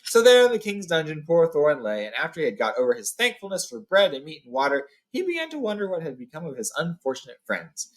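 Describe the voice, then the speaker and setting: A man's voice: a little high, wildly swooping pitch, fast talker, hardly any pauses, poor audio. A man hosting a read-along, narrating a fantasy storybook with informal recaps.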